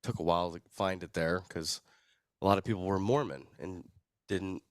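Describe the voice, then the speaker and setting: A man's voice: low voice, normal speed, no echo, studio quality. A young American man with a cold, telling his story in a casual, slangy way as a podcast guest. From Arizona, United States. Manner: laid-back slangy, chuckles at own story. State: sick with a cold. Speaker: podcast guest, recovery video producer.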